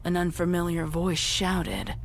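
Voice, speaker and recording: A woman reading a dark story as dramatic scripted narration. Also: on the low side, very animated, unhurried, a little hiss or hum. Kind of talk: dramatic scripted narration of horror fan fiction. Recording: clear music behind the voice. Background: music.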